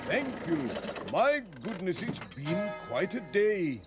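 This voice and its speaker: A man's voice: high voice, big pitch swings, takes it slowly, loud music behind the voice, voice pushed up, full character voice. A man voicing a mild, forgetful, dithering country vicar in a children's cartoon. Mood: weary, mild. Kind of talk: children's cartoon character acting.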